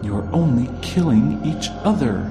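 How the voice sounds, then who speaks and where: A man's voice: mid-range voice, lively intonation, moderate pace, close to an everyday voice. A man voicing a stern old shaman in a traditional tale, speaking solemnly and commandingly to his village. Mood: stern, reproachful. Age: older. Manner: solemn, commanding, rhetorical questions. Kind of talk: oral storytelling of a traditional tale. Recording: long hall reverb, clear music underneath.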